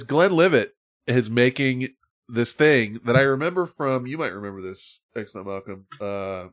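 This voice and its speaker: A man's voice: medium pitch, fairly bright tone. A man hosting a podcast in casual chat, rambling, swearing freely and laughing often. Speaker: podcast host.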